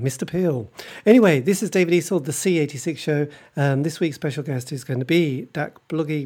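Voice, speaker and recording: A man's voice: on the high side, mellow, slightly rough. A man hosting a radio show, talking in a casual, rambling way with many ums and self-corrections.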